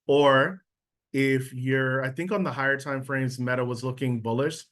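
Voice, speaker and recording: A man's voice: mid-range voice, steady tone, measured pace, fairly bright tone. Casual man teaching over a video call. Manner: casual, checks in with listeners often. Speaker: trading instructor. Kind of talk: live online trading lesson.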